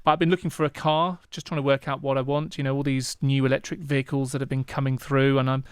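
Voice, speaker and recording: British man, clear dry podcast voice. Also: mid-range voice, level tone, fast talker, dry recording. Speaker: podcast co-host. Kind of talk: casual podcast chat.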